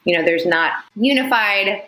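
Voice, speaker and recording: A young woman, a company founder, answering interview questions in a measured, explanatory way with frequent "you know" fillers. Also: medium pitch, lively intonation, bright, forceful tone, echoey room, a little hiss or hum, poor audio. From United States. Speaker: founder, podcast guest.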